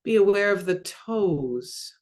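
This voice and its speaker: Very slow-paced woman guiding meditation. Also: medium pitch. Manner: calm, measured, with long pauses between short phrases. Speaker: mindfulness coach and meditation guide. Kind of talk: guided body scan meditation.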